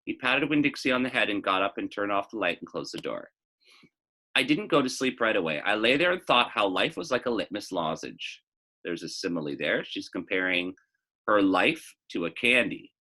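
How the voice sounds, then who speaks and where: A man reading a children's novel aloud as expressive narration, now and then adding a short teaching aside. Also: lowish voice, moderate pace.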